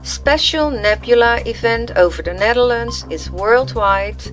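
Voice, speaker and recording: A woman's voice: medium pitch, fairly bright tone. A woman voicing a well-dressed male TV news anchorman, announcing formally in a radio play. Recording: music laid under the voice.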